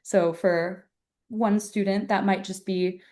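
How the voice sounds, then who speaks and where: Mellow-voiced young woman violinist guest. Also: medium pitch, fairly even intonation. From Germany. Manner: thoughtful, measured, uses "kind of" often. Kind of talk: podcast interview.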